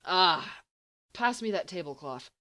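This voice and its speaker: A woman's voice: on the low side, animated, bright, forceful tone, heavily acted. A woman playing an exasperated, bookish teenage schoolboy in an audiobook, grumbling and dryly sardonic. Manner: grumbling, sardonic, halting when flustered.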